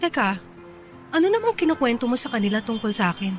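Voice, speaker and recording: An actress playing a proud, easily irritated but bashful young office boss in a Filipino radio drama, with a Filipino accent. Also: female voice, a little high, very animated, fast talker, faint music behind. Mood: flustered. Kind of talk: radio drama acting in Taglish.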